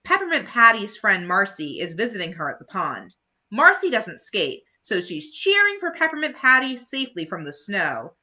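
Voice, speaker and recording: A woman reading a children's bedtime story aloud expressively, pausing to chat to her young listeners. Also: mid-range voice, wildly swooping pitch, pushed and bright.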